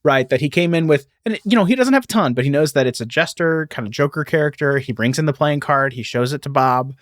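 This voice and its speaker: A man's voice: fairly high pitch, animated, quick pace, no room sound, studio quality. A man co-hosting a podcast, talking casually and explaining things, sometimes reading aloud and correcting himself as he goes. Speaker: podcast co-host.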